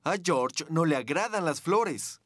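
A woman's voice: deep voice, expressive, measured pace, a bit bright, no echo, studio quality, exaggerated voice. A woman narrating a children's cartoon from a script. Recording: music behind the voice.

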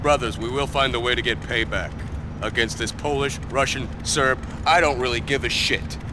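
An actor playing a swaggering, sarcastic, hot-tempered biker gang leader in a video game cutscene. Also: male voice, mid-range voice, moderate pace, noisy background, lightly acted. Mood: rousing. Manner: cocky, sarcastic, profane.

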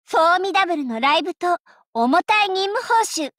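A woman's voice: extremely high pitch, animated, normal speed, fairly bright tone, no room sound, clean studio sound. A woman voicing an elegant young lady idol in an anime game, proud and playful, with refined, teasing, theatrical speech and an easily flustered streak. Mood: playful, composed.

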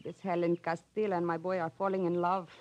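A woman acting a courteous, earnest elderly mother with a Spanish accent, speaking formal, halting English in a radio drama. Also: fairly low pitch, flat delivery, muffled recording, natural voice. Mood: troubled, earnest. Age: older.

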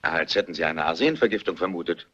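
An actor playing a formal, probing police inspector in a German radio play, with a husky, forceful voice. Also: male voice, sits low. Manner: inquisitive, formal, brisk. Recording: dull, muffled radio play recording.

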